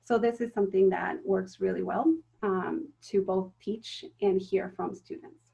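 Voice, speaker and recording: A woman's voice: medium pitch, steady tone, a little slow, vocal fry, warm tone, lo-fi sound. A woman giving a recorded talk to teachers, explaining step by step with frequent ums and self-corrections. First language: Spanish. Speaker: teacher trainer.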